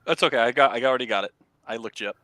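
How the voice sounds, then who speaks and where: An easygoing man co-hosting a casual voice chat, speaking softly. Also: mid-range voice, very fast, dry recording.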